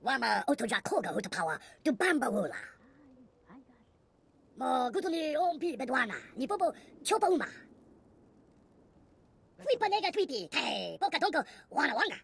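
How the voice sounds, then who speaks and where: Chattery little game alien, super raspy and crackly, excitable, swoops up and down. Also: female voice, high voice, moderate pace, long pauses, full character voice. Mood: excitable chatter.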